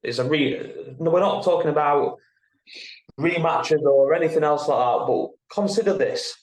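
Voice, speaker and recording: A man's voice: on the high side, moderate pace, a little hiss or hum. A man in casual sports podcast discussion, explaining his points step by step through examples. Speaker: podcast co-host. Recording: roomy, echoey sound.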